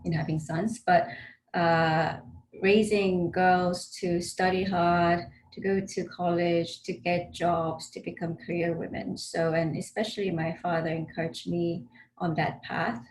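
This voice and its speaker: A woman's voice: on the low side, slight background noise, rough recording. A woman from Korea with a slightly British-tinged accent, telling her story conversationally as an interview guest from her home. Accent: slightly British-tinged English. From South Korea. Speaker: in-house counsel. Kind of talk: interview guest on a video call. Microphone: video call.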